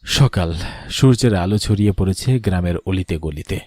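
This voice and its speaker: A man's voice: low-pitched, moderate pace, a little mellow, dry recording. A man giving dramatic, scripted narration of a ghost story.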